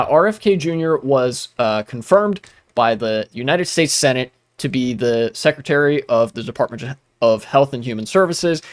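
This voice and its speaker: A man's voice: mid-range voice, no echo, clean studio sound. A young man streaming while gaming, talking casually with many restarts and blunt asides.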